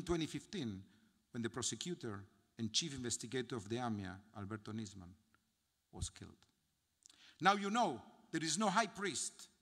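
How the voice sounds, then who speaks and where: An older man with an Argentine Spanish accent, a rabbi giving a solemn memorial sermon from notes, with measured phrasing and heavy pauses. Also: mid-range voice, fairly bright tone. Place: large synagogue sanctuary. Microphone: gooseneck podium mic. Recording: long hall reverb from the sanctuary.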